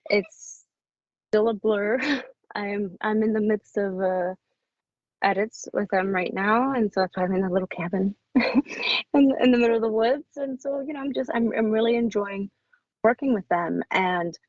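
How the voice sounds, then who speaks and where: A woman speaking as an author guest in a casual interview, effusive and often halting and restarting her sentences. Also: medium pitch, no room sound. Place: small cabin in the woods. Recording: dull lo-fi audio.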